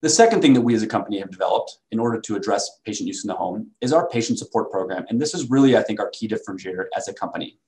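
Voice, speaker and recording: Guy with a lowish voice doing a company sales pitch over slides on a video call. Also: animated, rapid-fire, few pauses, a little mellow, low-quality recording. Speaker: medical device company presenter.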